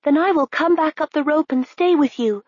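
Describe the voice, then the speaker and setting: A woman's voice: sits high, moderate pace, darkish tone, no room sound, pitched above the performer's own voice, lightly acted. A woman voicing a brave, hopeful young princess who speaks earnestly. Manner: earnest, pleading. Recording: slightly dull, dry recording.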